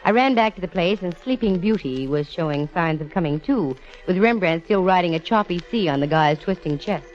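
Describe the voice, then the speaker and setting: A woman's voice: lowish voice, expressive, brisk, soft background music, lightly acted. An actress playing a brash, wisecracking female private detective in an old radio drama, with breezy, slangy delivery. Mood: wry narration. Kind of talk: 1940s-50s radio detective acting. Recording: muffled vintage radio broadcast.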